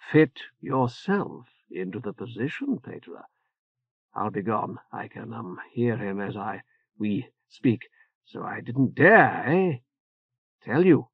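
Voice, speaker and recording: A man's voice: mid-range voice, breathy edge, no room sound, heavily acted. A man voicing a fretful older male churchman who stammers, hedges and tags lines with "eh?", in a dull, muffled recording. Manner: halting, full of "uh" and "eh?" tags. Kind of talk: audiobook character voice.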